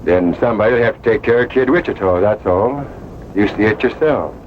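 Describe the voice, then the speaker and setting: An actor playing a hard, demanding cowhand with blunt, menacing talk in an old-time radio western. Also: male voice, fairly low pitch, brisk, lightly acted. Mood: hard, threatening. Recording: muffled old radio broadcast, faint noise.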